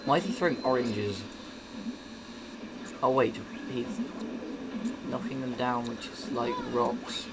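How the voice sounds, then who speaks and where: A woman with a croaky morning voice doing casual gameplay commentary, excitable and quick to yelp at the game. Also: rumbling low, background noise. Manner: excitable, yelps and exclaims at the game. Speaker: gaming video host. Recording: loud game sound over the voice. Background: video game sound.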